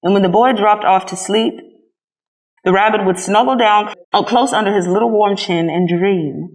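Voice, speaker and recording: A woman reads a children's storybook aloud. Her voice sits at a middle pitch, and she delivers the text as scripted narration. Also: moderate pace, poor audio. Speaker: storybook reader.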